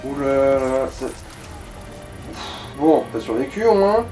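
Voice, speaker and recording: A man's voice: mid-range voice, expressive, slow, warm tone, faint music behind. A man giving casual game commentary as a companion, in informal, slangy and mildly crude language. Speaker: let's play co-commentator.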